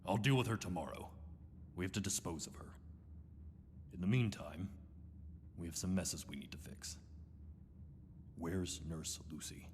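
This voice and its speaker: A man playing a cold, grandiose scientist villain in a horror audio drama, speaking in a measured, pompous, theatrical way. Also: low-pitched, a little mellow. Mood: curt, menacing. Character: cold, grandiose male scientist villain, self-justifying institute doctor. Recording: echoey reverberant room, faint noise.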